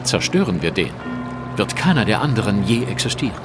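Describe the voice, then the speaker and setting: Victorian detective: lowish, lively intonation, commanding, analytical, exact. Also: male voice, fairly quick, loud music behind the voice. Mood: decisive. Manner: precise, didactic, assertive. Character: shrewd, self-assured Victorian male detective, commanding and analytical. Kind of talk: audio drama acting.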